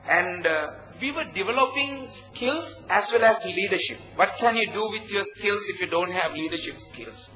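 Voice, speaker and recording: A man with a Sri Lankan accent giving an earnest conference presentation in short, halting phrases. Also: high-pitched, expressive, pushed and bright, soft background music, low-quality recording. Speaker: conference presenter, project founder. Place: conference hall at a hotel.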